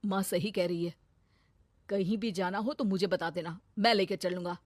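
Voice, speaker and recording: A woman's voice: medium pitch, normal speed, darkish tone, no room sound, studio quality, natural voice. A woman voicing a cheerful, easygoing young working man who dotes on his mother.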